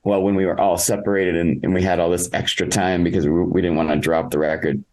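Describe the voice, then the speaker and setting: Male musician for a podcast interview: very low voice, casual, halting, thoughtful delivery. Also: level tone, darkish tone. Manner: halting, reflective, thinking aloud. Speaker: interviewed musician, singer in a band.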